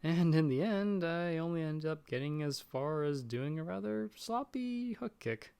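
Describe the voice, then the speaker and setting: A man's voice: a little high, animated, measured pace, gravelly, darkish tone, clean tone. A man playing a nervous, friendly young guy in an audio roleplay, talking in a casual, hesitant, self-deprecating way. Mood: chatty, self-deprecating. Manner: chatty, hesitant, sheepish. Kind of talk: casual one-sided roleplay dialogue.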